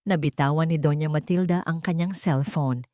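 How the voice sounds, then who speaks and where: A woman narrating a dramatic love story in a steady, scripted storytelling manner. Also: deep voice, normal speed, dry recording, clean studio sound.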